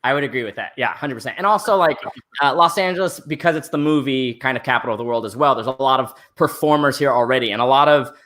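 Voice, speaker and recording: A man's voice: medium pitch, quick pace, fairly bright tone. A man, a magician guest, talking casually and at length over a video call, full of fillers. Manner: casual, rambling, lots of "like" and "uh". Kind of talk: live-stream interview.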